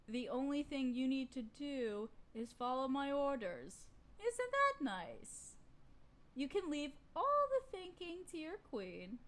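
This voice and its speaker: Woman acting a haughty, high-voiced evil sorceress queen. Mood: possessive, teasing. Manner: imperious, theatrical, drawling. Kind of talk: acted fantasy audio roleplay.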